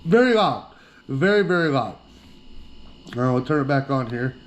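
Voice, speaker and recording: A man's voice: medium pitch, expressive, moderate pace. A middle-aged man giving a casual, plainspoken product demonstration as an outdoor gear reviewer, often repeating himself for emphasis.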